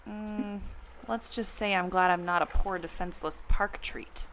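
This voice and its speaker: A woman playing a sensible, curious adventurer with expressive delivery in a radio comedy. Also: on the low side, unhurried, rough recording. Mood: wryly concerned. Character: sensible, curious young-spirited female adventurer Annie. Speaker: podcast host acting in audio drama. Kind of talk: amateur radio comedy acting. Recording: noticeable ambient background noise.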